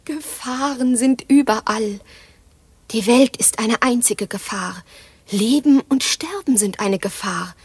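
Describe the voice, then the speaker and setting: A seductive female vampire speaks in a high, slow, very breathy voice. She sounds languid, intimate and quietly menacing, close and dry as in a radio horror play. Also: dry recording, full character voice. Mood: amused, ominous. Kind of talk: radio horror drama acting.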